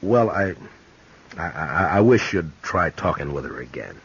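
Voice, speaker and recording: An actor playing a sober, courteous frontier marshal in a 1950s radio western, hesitant and plain-spoken. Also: male voice, low voice, big pitch swings, unhurried, vocal fry, a bit bright, slightly rough, exaggerated voice. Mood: grave, hesitant. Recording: muffled, noisy 1950s radio recording.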